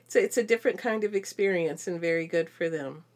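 A woman's voice: lowish voice, fast talker, a little mellow. A middle-aged woman chatting informally and agreeably as co-host of an educational podcast. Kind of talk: casual educational podcast chat.

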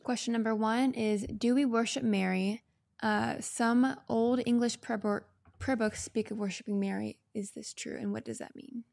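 Young woman, creaky higher voice. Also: steady tone, normal speed, a little mellow, no echo, studio quality. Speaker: podcast co-host. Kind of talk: casual question and answer.

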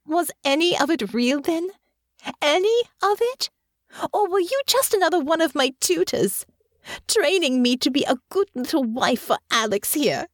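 A woman's voice: sits high, lively intonation, normal speed, no echo, clean studio sound, voice pushed up. A woman voicing a proud, wounded young noblewoman in an audiobook, imperious and angry, tightly controlled or sharply snapping. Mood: hurt, accusing. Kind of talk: audiobook character acting.

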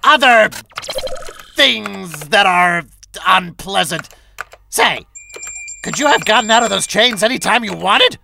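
A performer playing a small, frustrated, theatrical schemer villain in an audio drama, with gravelly, melodramatic outbursts. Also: medium pitch, lively intonation, slow, pauses often, sharp tone, a little hiss or hum, full character voice. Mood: grudging.

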